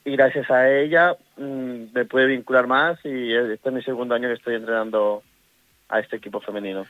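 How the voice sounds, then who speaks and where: A middle-aged man from Ecuador with an Ecuadorian Spanish accent, a women's football coach speaking in a casual interview, halting and full of restarts. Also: medium pitch, steady tone, no room sound. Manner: halting, many restarts and fillers. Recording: tinny phone line.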